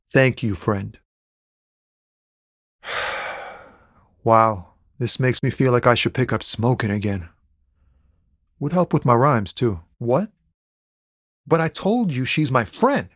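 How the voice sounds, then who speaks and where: An actor playing a laid-back, philosophical truck driver and aspiring poet in a video game, talking in a musing, slangy way. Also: male voice, medium pitch, expressive, a little slow, no room sound, studio quality. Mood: troubled, pleading. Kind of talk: conversational video game character dialogue.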